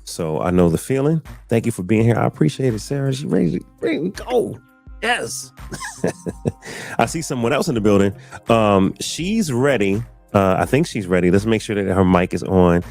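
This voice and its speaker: Laid-back low-voiced male podcast host. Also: very animated, moderate pace, creaky, a little mellow, soft background music. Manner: laid-back, laughs often, repeats himself. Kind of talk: casual podcast chat.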